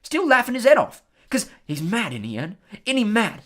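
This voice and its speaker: A reader voicing Stan, a chatty young male bus conductor who gossips loudly in working-class London speech. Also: medium pitch, huge pitch swings, moderate pace, voice pushed up. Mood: excited gossip.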